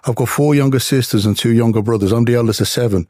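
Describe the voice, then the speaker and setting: Emphatic Irish ex-boxer, dry close mic. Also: male voice, mid-range voice, fast, darkish tone, dry recording. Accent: Dublin Irish with English traces. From Ireland. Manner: emphatic, repetitive storytelling. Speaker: guest, former boxer. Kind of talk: interview.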